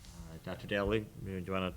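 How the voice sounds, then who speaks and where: A man chairing a school committee meeting in brisk procedural talk. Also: low voice, steady tone. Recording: noisy public meeting room recording.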